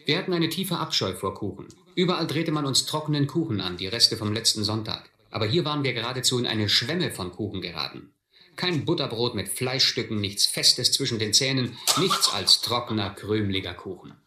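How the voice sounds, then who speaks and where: A man's voice: medium pitch, expressive, brisk, bright, forceful tone, reverberant. An actor playing a reflective narrator who recalls his vagabond youth in a German radio drama. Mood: wry recollection. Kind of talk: scripted first-person narration in a radio drama.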